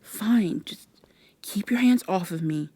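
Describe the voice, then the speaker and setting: A woman playing a defiant, sharp-tongued captive human girl in an ASMR vampire roleplay, curt and sarcastic. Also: medium pitch, lively intonation, slow, husky, no room sound. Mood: tense, defiant. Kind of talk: soft, breathy ASMR roleplay acting.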